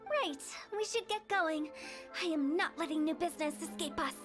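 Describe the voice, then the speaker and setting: A mischievous young woman who runs a funeral parlor, with a very high, bright voice and a teasing, macabre sense of humor. Soft game music plays under her. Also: moderate pace. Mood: playful. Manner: teasing and playful, with morbid humor. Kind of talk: game voice acting.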